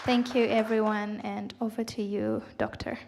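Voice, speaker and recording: A woman's voice: mid-range voice, sing-song, moderate pace, a little mellow, some chatter behind, a little hiss or hum. A woman moderating an event, formally introducing the panelists. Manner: formal, announcing.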